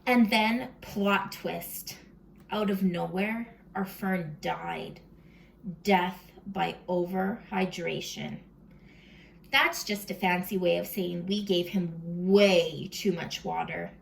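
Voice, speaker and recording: Slow, creaky-voiced woman reading to children. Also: on the low side, a little hiss or hum, poor audio. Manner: expressive, addresses children with questions, often drops into whispers. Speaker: read-aloud host for young children. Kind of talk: animated children's storybook read-aloud with chat to the listeners. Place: at home.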